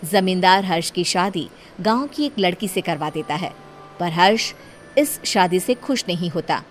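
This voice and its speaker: A woman narrating a cartoon horror story in a steady, plain storytelling manner. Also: fairly low pitch, a little slow, faint music behind.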